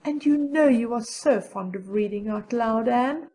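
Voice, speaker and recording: Woman acting a little schoolgirl, high pitched, breathy, pleading and coaxing, storybook dialogue. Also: unhurried, mellow. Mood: eager coaxing. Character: pleading young schoolgirl, dismayed best friend. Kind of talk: audiobook character dialogue.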